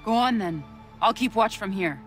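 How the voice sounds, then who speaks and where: Female actor for confident young woman, historical game. Blunt, capable, medium pitch. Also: expressive, normal speed, faint music behind, natural voice. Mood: brisk, teasing. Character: self-assured, blunt young Mohawk woman. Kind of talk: dramatic video game acting.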